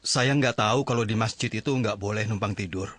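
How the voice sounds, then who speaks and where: A man's voice: on the low side, a little fast, a bit bright, no room sound. A man playing a weary, broke, down-on-his-luck character in an audio drama, subdued and plain-spoken. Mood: apologetic.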